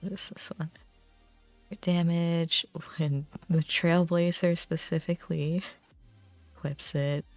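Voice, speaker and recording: Woman, casual game stream chat, low pitch, slow pace, thinking aloud, faint music behind. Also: fairly even intonation, darkish tone, no room sound. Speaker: game livestreamer.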